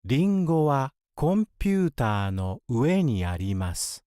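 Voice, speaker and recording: A man speaking for a language course, enunciating words and short example sentences clearly for learners. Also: medium pitch, sing-song, brisk, dark tone, no room sound, studio quality. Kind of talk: clear word-by-word pronunciation drill.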